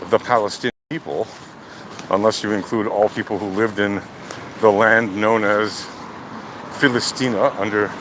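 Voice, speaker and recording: Emphatic man over loud vehicle noise. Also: lowish voice. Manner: deliberate, emphatic, argumentative. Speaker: speaker of a political monologue. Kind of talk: opinionated extemporaneous political commentary. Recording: loud outdoor traffic or engine noise.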